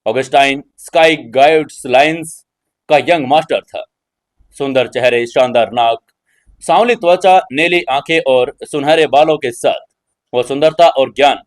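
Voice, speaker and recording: A man narrating a scripted dramatic audio story. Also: a little high.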